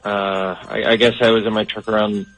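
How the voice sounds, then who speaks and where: A man's voice: on the low side, flat delivery, brisk, fairly bright tone, soft background music. A man answering a phone call in a polite, hesitant manner. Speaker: prank call recipient.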